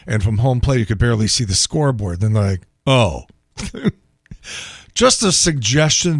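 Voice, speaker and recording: Man, American accent, medium pitch, gravelly thinning voice, wry, colloquial, solo podcast commentary, very dry acoustics. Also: no echo. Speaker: podcast host. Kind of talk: casual solo monologue on news and sport.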